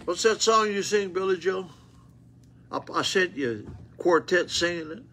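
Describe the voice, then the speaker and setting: An older man preaching an informal sermon in a small church, folksy and loud, asking the room rhetorical questions. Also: sits high, unhurried, slight background noise. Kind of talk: informal sermon with Bible reading. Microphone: phone camera close up.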